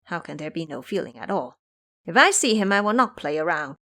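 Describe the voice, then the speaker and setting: A woman's voice: fairly low pitch, sing-song, a little fast, bright, forceful tone, heavily acted. A woman voicing a cocky, teasing male monkey king in an audio drama, boastful, preachy and theatrical in manner. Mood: firm.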